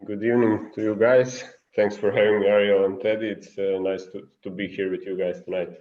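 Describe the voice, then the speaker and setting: A man's voice: on the low side, flat delivery, a little fast, highs cut off. A man from Serbia with a Serbian accent, a guest coach speaking earnestly in English on an online coaching clinic and searching for his words. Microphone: video call.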